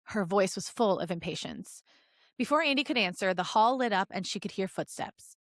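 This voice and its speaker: A woman podcast host reading fan fiction aloud as an expressive story narrator. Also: mid-range voice, moderate pace, no echo, studio quality.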